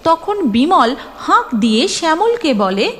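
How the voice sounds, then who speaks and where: A woman's voice: high voice, sing-song, measured pace. A woman narrating a scripted cartoon story expressively, with music behind her.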